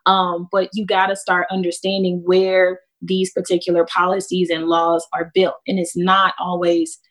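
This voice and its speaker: A woman with an American accent speaking as a panelist, explaining things in a measured way with emphasis on key words. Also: lowish voice, completely flat delivery.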